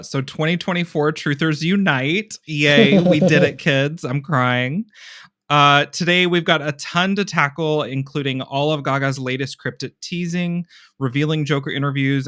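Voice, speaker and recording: A man co-hosting a fan podcast, chatting in an animated, gushing way. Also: mid-range voice, no echo, clean studio sound. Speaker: podcast co-host. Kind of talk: casual chat.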